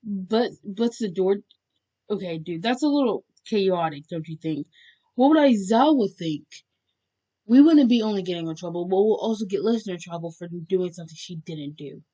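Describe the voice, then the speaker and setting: A woman's voice: medium pitch, expressive, normal speed, warm tone, dull audio, lightly acted. A woman voicing an easygoing but cautious teenage boy, a bro-ish buddy who reasons with his friend in an amateur story reading. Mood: worried, reasoning.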